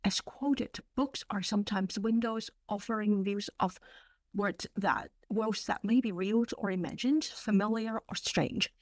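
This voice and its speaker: A woman whose first language is Cantonese, presenting a library webinar in a measured way with frequent fillers. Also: medium pitch, no room sound. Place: online webinar.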